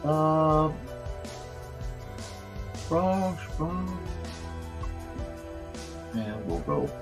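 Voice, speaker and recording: A man with a slightly croaky, strained voice chatting casually and goofily, half to himself, as he hosts a drawing stream. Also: a little high, wildly swooping pitch, very slow, music in the background. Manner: goofy, rambling, half talking to himself. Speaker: cartoonist hosting a live art show. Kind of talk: casual chat while drawing.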